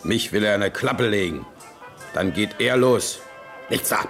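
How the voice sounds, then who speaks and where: Gruff, creaky-voiced Prussian king. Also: lowish voice, animated, a little slow, over music. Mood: indignant. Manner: blunt, grumbling, indignant. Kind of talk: German radio play acting.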